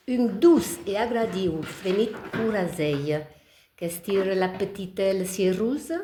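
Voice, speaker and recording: A woman recites poetry at a slow, measured pace. She has a Gascon accent from the south of the Landes, and the room echoes around her. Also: mid-range voice, darkish tone. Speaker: language workshop pupil.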